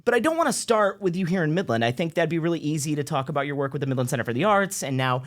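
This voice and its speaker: A man's voice: a little high, very animated, rapid-fire. A man hosting a local talk show, enthusiastic and effusive, joking with his guest. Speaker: show host. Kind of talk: casual interview chat.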